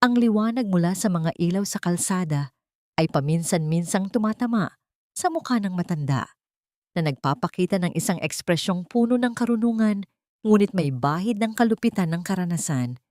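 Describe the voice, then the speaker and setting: A woman storyteller reading a scripted romantic drama in a solemn, measured, dramatic way. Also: fairly low pitch, animated, mellow, no room sound, studio quality. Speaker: storyteller, narrator.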